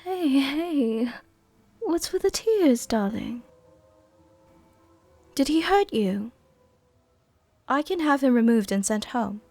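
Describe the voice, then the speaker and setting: A woman playing a worldly, softly dominant vampire countess in an intimate roleplay ASMR, with a soft, gentle, tenderly commanding way of speaking. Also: high-pitched, animated, slow, long pauses, dry recording, faint music behind, heavily acted. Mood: tender, comforting.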